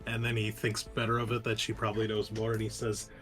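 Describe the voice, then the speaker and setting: A man's voice: lowish voice, completely flat delivery, fast talker, a bit bright. A man chatting casually as a player in a tabletop roleplaying game. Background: music.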